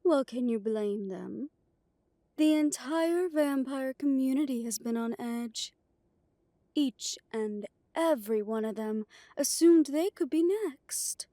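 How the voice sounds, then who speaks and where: A poised vampire noblewoman, played by a woman in an audio drama. Her voice is high yet soft-toned and mellow, and she speaks slowly and silkily with courtly grace. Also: no echo, studio quality. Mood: relieved. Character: poised, genteel female vampire of a ruling council. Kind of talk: dramatic audio roleplay acting.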